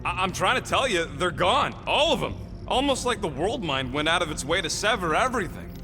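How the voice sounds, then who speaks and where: High-pitched, cranky critter. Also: male voice, sing-song, fairly quick, music in the background. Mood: grumbling. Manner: gruff slangy wisecracking with dropped grammar. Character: cynical, irritable small talking raccoon-like rodent tech expert. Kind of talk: video game voice acting.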